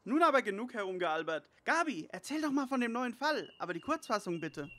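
An actor playing a brainy teenage boy detective in a parody radio play, with an excitable, wordy, cheeky manner. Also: medium pitch, brisk, a little hiss or hum. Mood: matter-of-fact. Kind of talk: parody detective audio drama.

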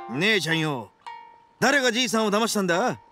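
Casting: loud-mouthed, dim oni ruffian, bright forceful tone with a raspy edge, boastful game character. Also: male voice, sits high, heavily acted. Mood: cocky. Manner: cocky, rough slangy speech. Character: brash, dim, boastful young male oni gang boss. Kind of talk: video game voice acting.

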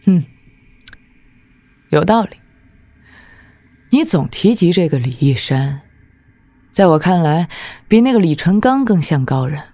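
A woman playing a proud, formidable martial-arts demon lord in an audio drama, with an imperious, measured delivery. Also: fairly low pitch, expressive, warm tone, no echo. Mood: wry, haughty.

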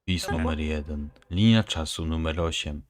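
A man playing a cold, domineering scientist mentor in an animated fan dub, speaking in clipped, contemptuous commands. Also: very deep voice, normal speed. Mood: detached, clinical. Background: music.

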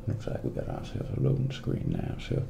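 A man playing a folksy small-town motel clerk in a soft-spoken ASMR role play, with a Southern American accent, breathy and gravelly, often near a whisper. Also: close to an everyday voice. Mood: folksy, unhurried. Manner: slow, drawling, folksy, hesitant pauses. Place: small dim office at a desk. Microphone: close binaural microphone. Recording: noisy close binaural recording, room ambience.

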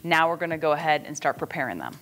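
A woman's voice: low-pitched, big pitch swings, quick pace, fairly bright tone. A middle-aged woman hosting a casual cooking demonstration in a plainspoken, folksy way, recorded in a home kitchen. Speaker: cooking host.

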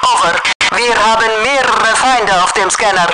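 Clipped police operator, noisy radio. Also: female voice, fairly high pitch, a little slow. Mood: businesslike. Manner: formal, clipped. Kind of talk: clipped video game radio callouts.

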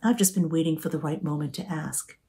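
A woman's voice: low voice, a little fast, creaky, dark tone. Woman voicing an earnest young man, lovestruck, a little pleading. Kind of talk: audiobook character voice.

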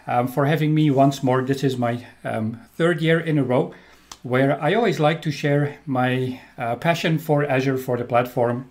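A man's voice: medium pitch, a little mellow. A middle-aged man from Belgium with a Belgian accent, giving an enthusiastic recorded technical presentation as a trainer, with frequent ums. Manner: upbeat, enthusiastic, with frequent um fillers.

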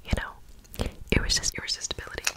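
A woman whispering a playful ASMR roleplay as a newly turned vampire, breathy, often repeating words and phrases. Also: quick pace. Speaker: ASMR creator.

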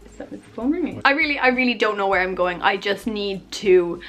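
A woman in her twenties rambling casually to camera in her bedroom, chatty and self-deprecating, her voice a little hoarse. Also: mid-range voice, expressive, a little fast, a bit bright, soft background music. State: losing her voice, coughing. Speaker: YouTuber. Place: bedroom, indoors. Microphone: camera on tripod at arm's length.

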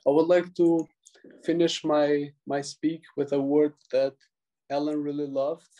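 Earnest man, dark voice. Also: a little high, flat delivery, highs cut off. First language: Albanian. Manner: earnest, many pauses and restarts. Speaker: tribute speaker. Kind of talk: halting prepared remarks. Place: small room indoors. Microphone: video call.

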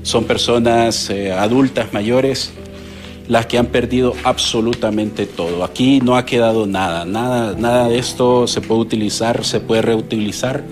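A middle-aged man with a Honduran accent giving a halting, improvised live news report on location into a handheld microphone. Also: mid-range voice, fairly even intonation, faint music behind. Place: on location at a house.

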